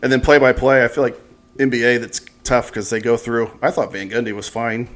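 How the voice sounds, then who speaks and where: A man's voice: medium pitch, level tone, brisk. An American man hosting a podcast, talking in a casual, conversational interview style. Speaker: podcast host.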